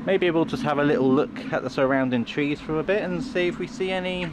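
A man's voice: on the high side, fast talker. A man hosting a casual walking vlog outdoors in a dry, tongue-in-cheek way. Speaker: vlog host. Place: outdoors on village roads. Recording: outdoor street noise, passing vehicles.

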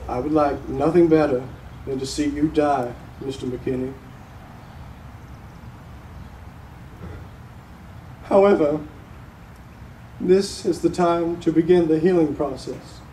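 A young man playing a solemn, resolute grieving father addressing a court in a stage monologue, with heavy pauses. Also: a little high, mellow, natural voice. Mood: stern, restrained. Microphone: distant camera microphone. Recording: roomy, noisy, recorded from far off.

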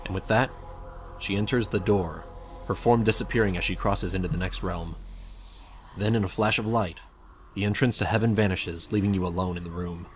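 A man's voice: sits low, moderate pace, faint music behind. A man reading scripted second-person fan fiction as a dramatic storyteller. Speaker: narrator.

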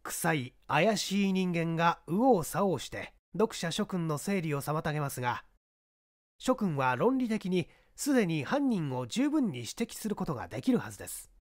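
A man narrating a literary mystery audiobook in a deliberate, theatrical way. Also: fairly high pitch, big pitch swings.

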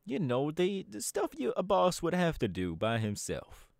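A man's voice: a little high, huge pitch swings, moderate pace, creaky, no echo, clean studio sound, heavily acted. A man voice acting a laid-back young male DJ gang boss in an ASMR audio roleplay, talking in an easygoing, chatty drawl. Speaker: voice actor.

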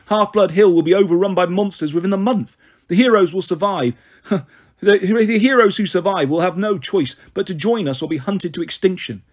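A man voicing a smug, smooth-talking college-age villain in a storybook, with a taunting manner. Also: high-pitched, moderate pace, pitched above the performer's own voice. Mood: persuasive, intense. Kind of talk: storybook character voice.

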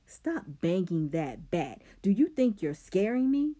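A woman's voice: medium pitch, big pitch swings, measured pace, warm tone, voice pushed up. A woman doing a scheming, defiant teen boy. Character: cold scheming teenage boy, clever and defiant.

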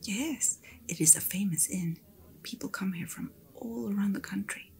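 A woman playing an attentive, friendly fantasy inn hostess in a gentle, whispered ASMR roleplay. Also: medium pitch, vocal fry, close to an everyday voice. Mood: soft, attentive. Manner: gentle, unhurried, chatty personal attention.

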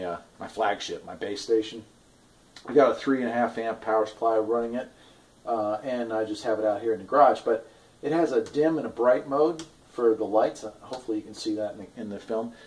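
A man in his forties talking casually and haltingly in an off-the-cuff video review, recorded in a garage on a camera at arm's length. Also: medium pitch, a little mellow. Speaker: product reviewer, farm owner. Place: garage, indoors.

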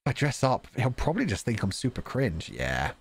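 A man streaming a game, reading its dialogue aloud with jokey ad-libs in a playful, animated manner. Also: medium pitch, a little fast, husky. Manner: animated, stammering for effect, jokey. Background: visual novel game music.

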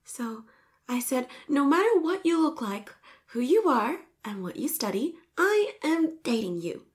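A woman acts out a sweet schoolgirl in love, her voice high and swooping. She speaks slowly and shyly, stammering when flustered and dropping into breathy whispers. It is an intimate romantic roleplay, bashful yet insistent. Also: sing-song.

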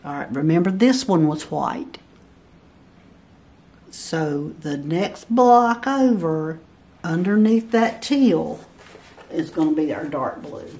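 A woman's voice: deep voice, expressive, slow pace, pauses often, a little mellow. A woman giving a step-by-step barn quilt pattern tutorial at a table, patiently counting blocks aloud. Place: at a table over a paper pattern, indoors.

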